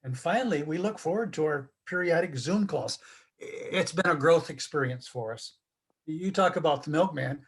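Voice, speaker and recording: A man's voice: fairly high pitch, normal speed, breathy edge. A seventy-nine-year-old man reminiscing casually in an interview.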